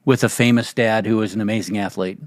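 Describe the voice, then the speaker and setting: A man's voice: lowish voice, steady tone, quick pace, no echo, studio quality. Encouraging podcast host man. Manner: encouraging, frequent short agreements. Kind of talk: casual interview.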